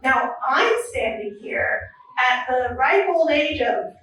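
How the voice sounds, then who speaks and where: Twenties woman doing open mic standup, nervous and self-deprecating, unhurried, booming echo from far off. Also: lively intonation, a bit bright, faint music behind. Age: 20s. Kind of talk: stand-up comedy set. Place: comedy club or small venue. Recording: very echoey room from a distance.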